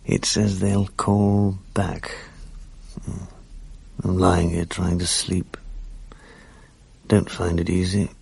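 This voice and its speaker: A man's voice: deep voice, monotone, takes it slowly, vocal fry, long pauses, darkish tone, husky, full character voice. An actor playing an ailing, grieving, lonely man speaking his thoughts in a subdued, reflective radio drama monologue. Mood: anxious, low.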